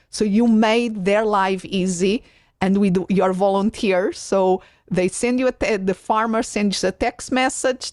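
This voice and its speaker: A woman from Portugal with a Portuguese accent, hosting a conversational interview in an enthusiastic, halting way and often rephrasing herself. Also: medium pitch, a little mellow, no room sound, studio quality. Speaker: podcast host.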